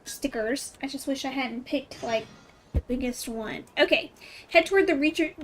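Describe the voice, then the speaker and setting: A woman giving casual, chatty live commentary while streaming a video game. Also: sits high. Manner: chatty, informal. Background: game music.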